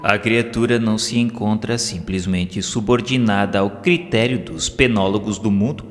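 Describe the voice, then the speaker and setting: A Brazilian man reading solemn scripted religious narration in a formal, measured, oratorical way. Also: lowish voice. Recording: long hall reverb, music underneath. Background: background music.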